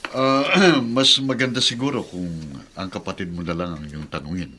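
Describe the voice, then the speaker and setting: Low, smug, insinuating client. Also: male voice, pushed and bright, a little hiss or hum. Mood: sly, evasive. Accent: Filipino. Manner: teasing, deliberate, insinuating. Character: smug older male client, slyly revealing secrets. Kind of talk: Filipino radio drama acting.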